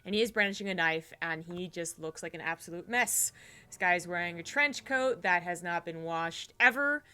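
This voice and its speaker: Low-voiced woman narrating as game master. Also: normal speed, sharp tone, clear, resonant tone. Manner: rambling, many fillers and restarts. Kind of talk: narrating a tabletop horror game.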